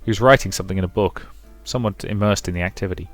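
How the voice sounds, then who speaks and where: Low-voiced man reading a game story aloud. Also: rapid-fire, faint music behind. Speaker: let's play presenter. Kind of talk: reading a visual novel aloud with casual asides.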